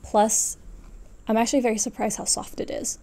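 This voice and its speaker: Mellow-voiced young woman chatting to camera. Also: a little high, little pitch movement, normal speed, vocal fry. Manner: rambling, talks to herself and self-corrects. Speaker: knitting vlogger. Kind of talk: casual chatty yarn haul to camera. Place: small furnished room at home, indoors.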